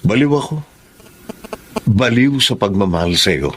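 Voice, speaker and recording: Smug Filipino bachelor, animated and lowish. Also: male voice, unhurried, breathy edge, exaggerated voice. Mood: smooth, insistent. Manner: self-satisfied, insistent. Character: boastful, lovestruck wealthy bachelor, smooth schemer. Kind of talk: Filipino radio drama acting.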